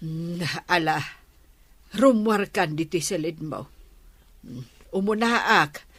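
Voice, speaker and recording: An actress playing a patient, worried middle-aged mother in a radio drama, coaxing in a measured way. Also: on the low side, fairly bright tone, dry recording. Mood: gently reproachful. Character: patient, worried mother coaxing her troubled grown daughter.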